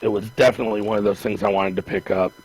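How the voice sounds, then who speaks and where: A man's voice: on the low side, steady tone, racing, a little mellow, slightly rough, rough recording. A man co-hosting a casual podcast, talking in a rambling, hesitant way with many restarts. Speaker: podcast co-host. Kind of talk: casual chat.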